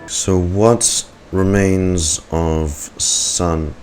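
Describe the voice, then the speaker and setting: A man with a very deep voice streams a game. He reads the text aloud calmly, stumbling now and then and adding casual remarks, while music plays clearly behind him. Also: extremely slow.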